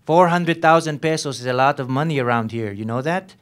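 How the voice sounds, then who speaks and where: A man's voice: medium pitch, fairly quick, lightly acted. A young man playing a taunting, volatile Filipino police lieutenant in a staged dramatic reading of a novel. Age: young adult. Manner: taunting, interrogating, sprinkles in Tagalog slang. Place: on stage at a live reading event. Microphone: microphone on a stand.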